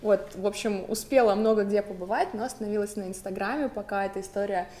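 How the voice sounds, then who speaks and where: A woman guest on a talk show, introducing herself in a playful, relaxed way. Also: medium pitch, fast, reverberant. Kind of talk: casual self-introduction.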